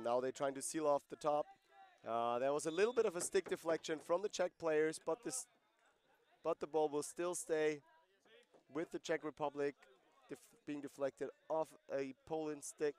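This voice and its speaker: A man's voice: fairly high pitch, darkish tone, clear, resonant tone. Man calling a lacrosse game live. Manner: conversational, explains rules and player backgrounds between plays. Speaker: play-by-play commentator. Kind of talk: live sports commentary.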